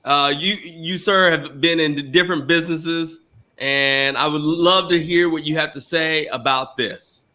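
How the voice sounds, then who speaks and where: Podcast co-host from Arkansas, male, bright and sharp voice, animated storyteller. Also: a little high, measured pace. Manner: lively, storytelling, friendly ribbing. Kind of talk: casual podcast banter.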